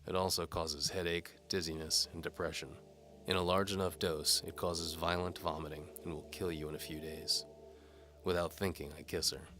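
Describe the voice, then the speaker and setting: A man's voice: low voice, level tone, normal speed, pushed and bright, soft background music. A man reading dramatic first-person noir narration in a hard-boiled, wry manner.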